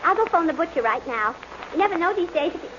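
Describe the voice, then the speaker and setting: An actress playing an obliging, deferential maid in a 1940s radio drama. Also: female voice, very high-pitched, animated, fast. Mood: dutiful. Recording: noisy, muffled 1940s radio recording.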